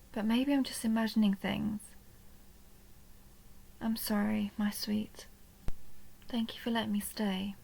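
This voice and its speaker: A woman playing a tender, possessive, lonely vampire countess in an intimate roleplay audio, speaking softly and breathily, often near a whisper, with a creaky edge. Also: medium pitch, low-quality recording. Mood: wistful, vulnerable. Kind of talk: intimate roleplay audio drama, addressed to the listener.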